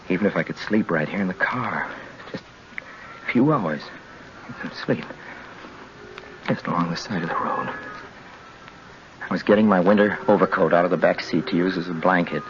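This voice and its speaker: A man's voice: sits low, fairly bright tone, slight background noise. An actor playing a nervous, desperate young man driving alone, stammering and pleading, in a muffled 1940s radio drama recording. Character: haunted young male driver, nervous and desperate. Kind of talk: 1940s radio drama acting with first-person narration.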